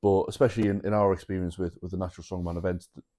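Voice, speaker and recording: Very deep, dark-voiced male co-host. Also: very fast. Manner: relaxed, repeats words while thinking. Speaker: podcast co-host. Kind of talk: casual chat.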